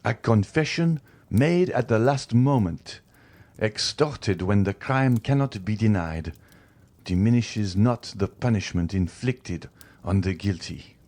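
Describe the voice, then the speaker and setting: A man's voice: fairly low pitch, lively intonation, slow, a little mellow, pitched above the performer's own voice. A man playing a stern, furious magistrate. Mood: accusing. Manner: accusing, rising to furious outbursts. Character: stern contemptuous magistrate husband.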